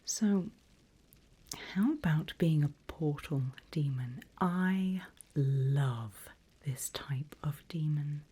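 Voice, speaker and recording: Seasoned female demon guide played by a woman for ASMR roleplay: very breathy whisper, low, slow, smug and menacing. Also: dark tone, heavily acted. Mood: enticing. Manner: slow, drawn-out, theatrical.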